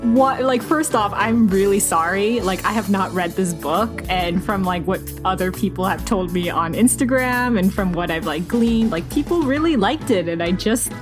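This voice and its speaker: A woman co-hosting a podcast in a casual book chat, informal and quick to giggle. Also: medium pitch, animated, music in the background. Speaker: podcast co-host.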